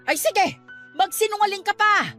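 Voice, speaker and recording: Stern, high-pitched scolding Filipino aunt. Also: female voice, normal speed, bright, forceful tone, faint music behind. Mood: scolding, exasperated. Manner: scolding, dramatic, emphatic. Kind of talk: Tagalog radio drama acting.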